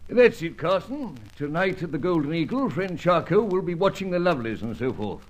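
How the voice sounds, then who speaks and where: An avuncular older British spymaster in a radio serial. His plummy, clubby speech sits slightly high and swings widely in pitch, with a husky edge. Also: sing-song, a little fast. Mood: brisk, genial. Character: older British secret service chief, hearty and avuncular. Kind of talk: old-fashioned radio serial acting.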